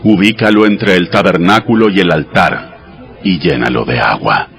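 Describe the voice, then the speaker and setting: An actor playing God as a solemn, commanding deity giving sacred laws in a dramatized audio Bible, in a measured manner. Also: male voice, sits low, a bit bright. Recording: voice over clear background music.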